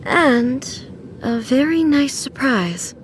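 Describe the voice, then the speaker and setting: A woman voicing a solemn, lonely immortal goddess ruler in an anime fantasy game, speaking with formal, measured, regal restraint. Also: high-pitched, lively intonation, slight background noise. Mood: tender.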